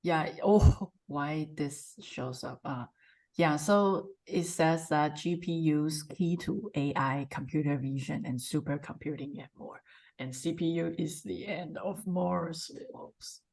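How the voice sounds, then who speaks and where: A woman's voice: deep voice, slow pace, creaky, a little mellow. A woman from Taiwan, hosting a casual video call chat, reading headlines and answering with short hesitant repeats. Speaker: host. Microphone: video call microphone.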